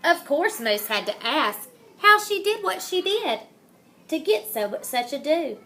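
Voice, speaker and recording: A woman reads a children's story in a high, very lively voice. She takes her time, delivering each phrase dramatically and lingering over rhymes and playful words. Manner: dramatic, deliberate, savors rhymes and wordplay. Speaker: storybook reader. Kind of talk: expressive children's picture-book read-aloud.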